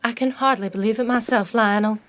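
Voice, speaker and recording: An actress playing a conflicted but determined tycoon's daughter in an audio drama, earnest and exasperated. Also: female voice, a little high, fairly even intonation, fairly quick, fairly bright tone, soft background music, close to an everyday voice. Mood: troubled.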